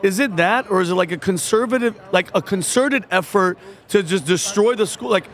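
A man in his forties co-hosting a podcast, asking long questions with halting restarts at a convention booth on a desk mic. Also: high voice, a bit bright. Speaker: podcast co-host. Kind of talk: casual interview chat. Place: convention booth in a large indoor hall.

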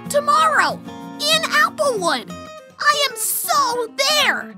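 A brash, boastful young female pegasus pony , played by a woman. Her voice is pitched very high. She speaks with cocky confidence. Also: sing-song, takes it slowly, music in the background, full character voice.

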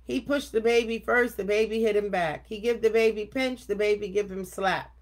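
An older woman reading a children's picture book aloud expressively, with deliberate, emphatic phrasing. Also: mid-range voice, fairly even intonation. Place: home office room indoors. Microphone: webcam at desk distance.

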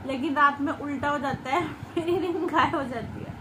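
A woman's voice: sits high, normal speed, background noise. A young woman in her twenties chatting to camera in a casual home vlog while cooking. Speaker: vlogger. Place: small home kitchen and living room indoors.

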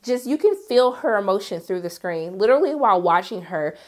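A young woman reviewing a film in a sassy, animated way, her voice strained from being sick. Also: brisk. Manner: sassy, animated, jumps high for quoted asides. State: sick, voice partly lost. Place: indoor studio, graphic backdrop.

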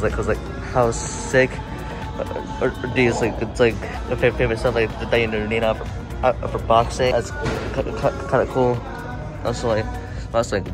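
A young man casually narrating a shopping vlog, stammering and repeating words with excitement. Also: mid-range voice, rough recording. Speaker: vlogger. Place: shopping mall and sporting goods store, and a car. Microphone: handheld camera.

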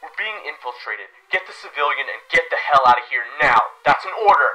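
A man playing a stern squad commander in an audio roleplay, giving curt, forceful orders over a radio. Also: on the high side, expressive, fairly quick, heavily acted, low-quality recording. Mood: stern, urgent. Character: stern commanding male superior of an assassin squad.

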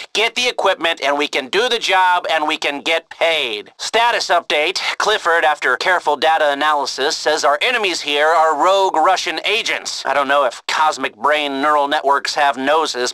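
A neurotic, sardonic heist mastermind from a video game. His voice is high for a man and very sharp, recorded close and dry. He briefs the crew chattily, full of cynical wisecracks. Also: no echo, poor audio.

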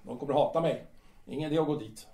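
In an echoey room, a proud, bitter bohemian playwright acted by a man grumbles and dodges in a fairly high voice. His delivery is halting and theatrical, made for audio drama. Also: dark tone, lightly acted. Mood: reluctant, sulky. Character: bitter, proud, evasive bohemian male playwright in 1890s Paris.

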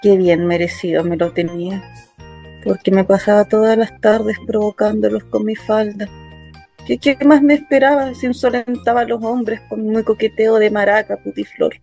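A woman's voice: mid-range voice, fairly even intonation, normal speed, vocal fry, dark tone, breathy edge. A woman playing a young working-class Chilean daughter in a dramatic first-person radio play. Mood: bitter, wounded. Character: young working-class daughter recounting her assault, wounded, bitter. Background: music.

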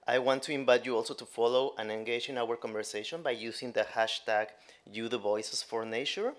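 A man's voice: medium pitch, a little fast. Man giving a formal welcome speech. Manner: formal, reading a script. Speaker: regional representative, opening speaker. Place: conference room with audience. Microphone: podium mic.

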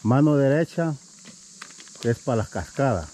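A man's voice: mid-range voice, very animated, measured pace, mellow. A man in his thirties filming a family hiking vlog, chatting to viewers as he walks and climbs a wooded trail, a little out of breath. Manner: chatty, addresses viewers as "mi gente". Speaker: family vlogger. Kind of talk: casual hiking vlog narration. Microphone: action camera held at arm's length.

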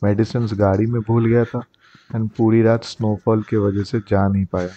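Guy vlogging slow and flat, low voice, kinda muffled recording. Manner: slow, amazed, mixing Hindi and English. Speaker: travel vlogger. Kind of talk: casual vlog narration. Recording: dull lo-fi sound with faint noise.

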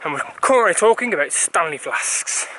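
A man's voice: high voice, lively intonation, brisk, bright, forceful tone, rough recording. A young man chatting casually to a handheld camera while hiking a forest trail, narrating and joking. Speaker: vlogger. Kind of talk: casual hiking vlog chat.

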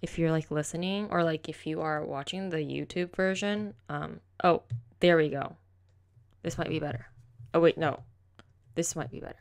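A woman's voice: sits low, moderate pace, creaky. A young woman, hosting a casual solo podcast at home on a close desk mic, rambling and correcting herself, saying "like" constantly. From raised in the United States. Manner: chatty, rambling, heavy use of "like", self-correcting. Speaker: podcast host. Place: home room with kitchen behind, indoors. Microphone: silver desk condenser mic, close.

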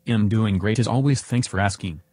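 A man plays an earnest chatbot companion for a podcast, agreeable and curious. The voice sits low, with a measured manner and a dry, close sound. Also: darkish tone, breathy edge, dry recording.

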